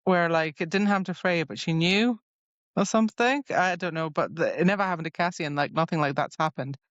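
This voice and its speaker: Female podcast co-host, lowish pitch, casual and chatty with many filler asides, dry, slightly dull recording. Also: a little fast, no echo. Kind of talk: casual book discussion.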